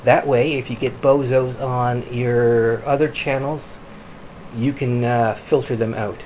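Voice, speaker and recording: A man from Canada giving an informal product review in a halting, piecemeal delivery. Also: mid-range voice, fairly even intonation, a little mellow. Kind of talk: informal screen-recorded review. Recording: clearly noisy home recording.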